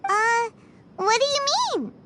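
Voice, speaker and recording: A woman voicing a small, childlike fairy companion in a video game, chatty, excitable and full of exclamations. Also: extremely high pitch, slow pace, full character voice. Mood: surprised, excited. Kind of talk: English video game dialogue. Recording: game music behind. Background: loud music.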